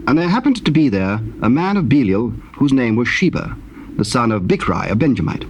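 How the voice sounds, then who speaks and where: A man giving a solemn, measured scripted narration of dramatized scripture. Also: medium pitch, big pitch swings. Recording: noisy cassette tape recording.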